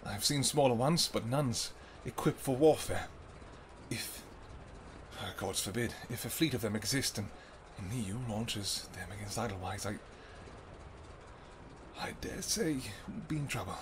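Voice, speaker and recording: A man voicing an ancient, protective vampire king in an audio roleplay, who shouts urgent commands and then turns to low, tender murmuring. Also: expressive, unhurried, background noise. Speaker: voice actor.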